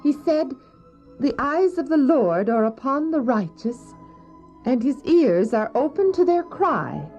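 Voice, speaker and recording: A woman's voice: high voice, sing-song, slow pace, darkish tone, heavily acted. A woman playing a kindly, pious, wealthy lady in an audio drama, speaking tenderly and earnestly. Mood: devout. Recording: muffled old cassette drama, faint music.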